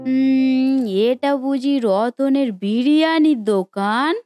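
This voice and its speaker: A woman's voice: sits high, slow pace, dark tone, no room sound, soft background music. Woman putting on a fussy, demanding old granny. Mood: curious, fussy. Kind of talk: Bengali cartoon story voice acting.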